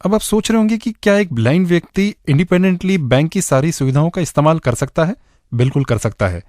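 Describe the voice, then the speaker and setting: An Indian man hosts a radio programme, reading scripted narration in a fairly high male voice. The sound is dry and close, with very little room in it. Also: lively intonation, quick pace, a little mellow, no room sound. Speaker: radio show host.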